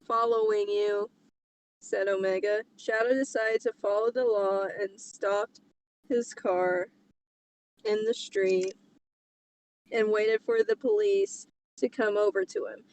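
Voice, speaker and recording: A woman's voice: mid-range voice, fairly even intonation, takes it slowly, pauses often, darkish tone, a little hiss or hum, rough recording. A woman casually reading a story aloud and commenting on it as a co-host.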